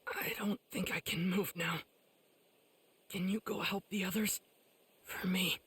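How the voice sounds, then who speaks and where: An earnest teenage swordsman speaks slowly in a high, strained, gentle voice. He sounds caring and worn out, with long reverb around him, in anime game style. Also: steady tone, exaggerated voice. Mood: weary concern. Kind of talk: dramatic anime game acting. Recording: echoey hall-like reverb.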